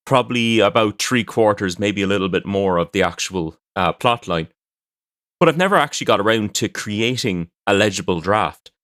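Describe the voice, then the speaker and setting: A man with a fairly low voice hosts and narrates a fiction podcast. His delivery is scripted storytelling. The sound is dry, recorded indoors at a desk with little room echo. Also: a bit bright. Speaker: podcast host and fiction writer.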